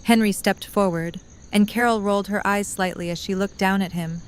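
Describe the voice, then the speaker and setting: A woman's voice: medium pitch, steady tone, normal speed, a little hiss or hum. A woman narrator reading a scripted story for an audio drama.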